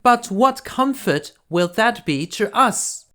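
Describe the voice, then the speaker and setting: Man putting on a high, pleading queen. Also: animated, slow, bright, forceful tone, exaggerated voice. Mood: distraught, pleading. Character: distraught storybook queen, anxious mother.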